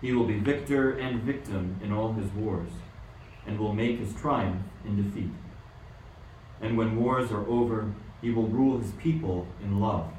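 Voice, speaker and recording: An amateur actor playing a grave, reverent royal astrologer in a radio play, speaking in solemn declarations. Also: male voice, fairly low pitch, unhurried, a little mellow, lightly acted. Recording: very echoey, distant mic, faint noise.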